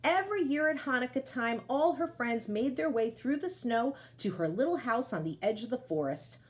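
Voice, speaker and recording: A woman storyteller reading aloud to children, expressive, with chatty asides that speak to them directly. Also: high-pitched, moderate pace.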